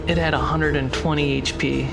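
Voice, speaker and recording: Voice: mid-range voice, little pitch movement, moderate pace, creaky. A game master narrating and running a tabletop fantasy game. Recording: loud music behind. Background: loud cinematic score.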